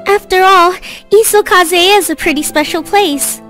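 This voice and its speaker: Very high-pitched shy schoolgirl. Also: measured pace, a bit bright. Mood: gentle. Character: shy, sweet, polite teenage schoolgirl in an anime game. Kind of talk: visual novel voice acting. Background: game music.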